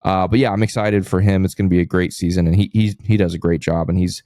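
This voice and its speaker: A man's voice: low voice, steady tone, fast, warm tone, no room sound, clean studio sound. An American man chatting casually as co-host of a sports podcast.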